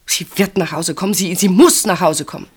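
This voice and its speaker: An actress playing a reserved, tender woman in an intimate radio play, speaking in a gentle, measured, earnest way. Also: lowish voice, husky. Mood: anxious, pleading. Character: reserved, tender woman named Marianne, quietly devoted.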